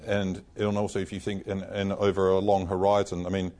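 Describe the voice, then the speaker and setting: Really deep aussie bloke, frank panel talk, dark mellow voice. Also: male voice, flat delivery, fairly quick. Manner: frank, emphatic, casual phrasing. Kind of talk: panel discussion answer.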